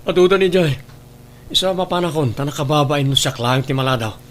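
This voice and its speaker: A man with an Ilocano accent playing a hurried working father in a radio drama. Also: fairly high pitch, slight background noise, close to an everyday voice.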